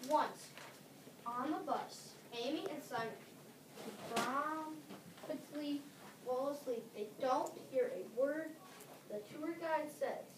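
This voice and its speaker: High-pitched female narrator in an echoey room. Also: slow. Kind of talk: scripted story narration, readers' theater. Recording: distant mic, echoey room, clear background noise.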